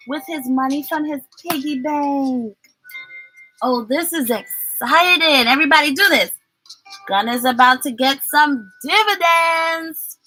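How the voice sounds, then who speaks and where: A woman reading a picture book aloud to children as their teacher, expressive and exaggerated, coaxing them along with questions. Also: sits high, low-quality recording.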